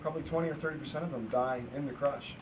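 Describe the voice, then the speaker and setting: A filmmaker on a festival panel answering audience questions in earnest, rambling sentences full of restarts. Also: male voice, fairly high pitch, steady tone, racing. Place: festival screening hall. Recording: distant mic with noisy room.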